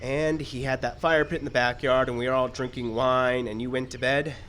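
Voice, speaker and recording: A man acting a fussy screenwriter in a live radio comedy. He is middle-pitched, plaintive and deadpan, forever suspicious and put upon. Outdoor noise and birds sit behind him. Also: a little fast, lightly acted. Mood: storytelling. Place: outdoor park stage. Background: birds and outdoor ambience.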